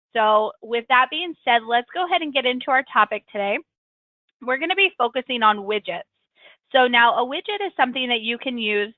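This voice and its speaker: A woman hosting a software tutorial webinar, talking in a friendly, chatty way with lots of ums, her voice a little raspy from a cold. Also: medium pitch, no room sound. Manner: friendly, chatty, frequent ums and self-corrections. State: getting over a cold, slightly raspy. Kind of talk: screen-share tutorial walkthrough. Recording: tinny, dry call-line audio.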